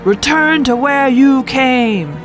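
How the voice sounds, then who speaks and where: A woman's voice: high voice, lively intonation, takes it slowly, over music, voice pushed up. A woman voicing a brave, defiant one-inch young samurai in a children's folktale, calling out bold challenges. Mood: defiant, proud. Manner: bold, declamatory, shouting challenges.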